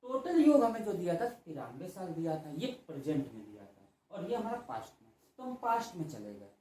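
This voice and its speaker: A man's voice: high-pitched, very animated, fairly quick, dark tone. A man teaching a math lesson, drilling students by repeating each question and answering it himself. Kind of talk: classroom math lecture. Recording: very echoey room, mic far off.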